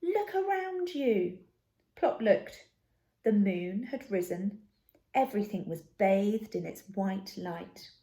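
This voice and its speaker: A woman reading a children's story aloud as narrator, in an expressive and deliberate manner. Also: mid-range voice. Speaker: storybook reader.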